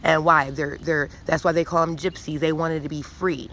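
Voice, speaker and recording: A woman's voice: low-pitched, flat delivery, normal speed, a bit bright, a little hiss or hum. A woman giving an emphatic, profane spiritual monologue with many restarts, rambling and preachy. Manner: intense and preachy. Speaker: vlogger.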